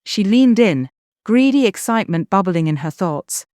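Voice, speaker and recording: A woman narrating a children's bedtime story in an expressive, scripted way. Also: medium pitch, moderate pace, no room sound, clean studio sound.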